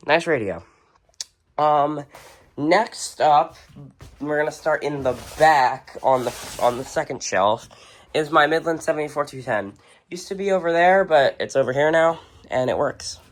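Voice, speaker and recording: A video host giving a casual, unscripted show-and-tell indoors in an informal, halting way. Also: medium pitch. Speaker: video host and weather radio collector. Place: indoors, in a room with shelves of radios.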